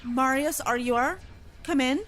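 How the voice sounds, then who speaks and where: A woman's voice: high-pitched, a little slow, music in the background. An actress in an audio drama playing a haughty, dangerous noblewoman with an imperious, formal and cutting manner. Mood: urgent. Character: haughty, lethal, easily annoyed adult noblewoman.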